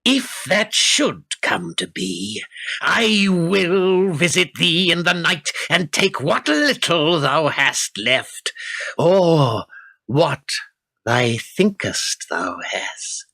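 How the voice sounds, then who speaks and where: An actor playing a cruel, menacing middle-aged lord in a radio play, speaking in archaic, theatrical phrases. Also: male voice, fairly high pitch, sing-song, extremely slow, vocal fry, pushed and bright, no room sound, heavily acted.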